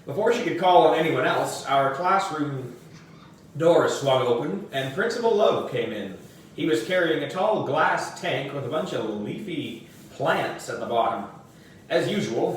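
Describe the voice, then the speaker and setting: A man narrates a children's storybook slowly and deliberately. His intonation is very lively and expressive. The recording is very echoey, away from the mic. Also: fairly high pitch. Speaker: book reader. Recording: very echoey room, distant mic, faint noise.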